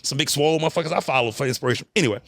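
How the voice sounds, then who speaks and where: A man's voice: a little high, very fast, vocal fry, fairly bright tone, dry recording. A man hosting a podcast in a cigar lounge, chatting casually in slang and hyping up his guest. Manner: laid-back, slangy, hypes up his guest. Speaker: podcast host. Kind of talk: casual chat.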